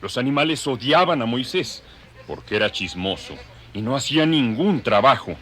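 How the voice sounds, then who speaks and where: Male narrator, scripted dramatic storytelling; low, lively intonation, faint background noise.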